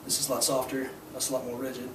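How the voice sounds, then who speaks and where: A hoarse young man teaching a scuba class, explaining gear and skills in a casual, filler-heavy way. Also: medium pitch, monotone, fast talker. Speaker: scuba instructor. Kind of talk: hands-on demonstration to a class. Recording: echoey room, distant mic, noisy.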